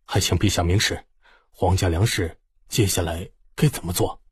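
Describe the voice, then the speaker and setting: A man's voice: deep voice, fairly even intonation, moderate pace, husky, dry recording, studio quality. A man voicing a grave, composed imperial guard officer in an audiobook. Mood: grave, respectful.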